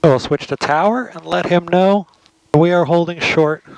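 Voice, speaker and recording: A man's voice: a little high, normal speed, gravelly, no room sound, poor audio. An American man, a private pilot, giving casual flight commentary and radio calls over a headset in a small plane. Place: inside a small propeller plane cockpit. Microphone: aviation headset audio. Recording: cockpit audio with engine noise. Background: engine drone.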